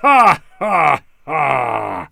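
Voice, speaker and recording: Casting: haughty storybook lion king, big and menacing, booming voice, very slow drawn-out lines. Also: huge pitch swings, gravelly, a bit bright, slightly rough, no room sound, clean studio sound, full character voice. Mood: mocking. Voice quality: roaring, booming. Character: big, proud, angry, scornful lion king. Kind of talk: children's storybook character voice.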